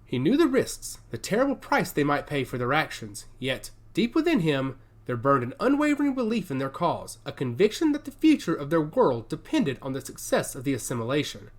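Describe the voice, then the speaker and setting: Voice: mid-range voice, wildly swooping pitch, brisk, hardly any pauses. Audiobook narrator for dystopian fiction, hugely varied intonation, scripted and dramatic delivery.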